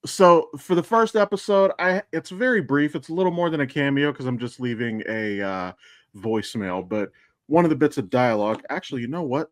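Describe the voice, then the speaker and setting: A man's voice: medium pitch, no echo, studio quality. An American man co-hosting a podcast in casual, rambling, sweary chat. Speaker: podcast co-host and voice actor.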